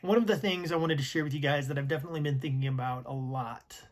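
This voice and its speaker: A man in his early forties giving a slow, reflective personal talk, sometimes dropping to a near whisper. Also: fairly high pitch. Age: 40s. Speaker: vlogger.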